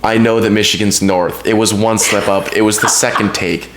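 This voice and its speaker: A man's voice: on the low side, steady tone, normal speed, crowd noise. A man in his twenties from Texas, a podcast guest chatting casually into a podcast mic, laid-back and rambling, often trailing off and restarting. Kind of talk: casual chat. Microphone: podcast microphone.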